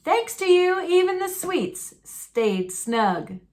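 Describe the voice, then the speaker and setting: A woman's voice: very high-pitched, big pitch swings, slow pace, a little mellow, pitched above the performer's own voice, heavily acted. A woman voicing an earnest, doting pioneer father in a children's storybook, with folksy, exclamatory delivery. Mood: grateful, tender. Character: earnest pioneer father, grateful and doting on his fruit trees.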